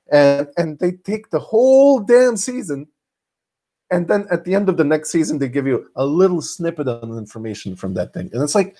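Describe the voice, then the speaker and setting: A young man from Serbia with a Serbian accent, telling stories in a casual solo vlog, restarting often and swinging his pitch up and down. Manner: animated, frequent restarts and laughs, pitch swinging widely. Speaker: vlogger host. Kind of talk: casual monologue storytelling.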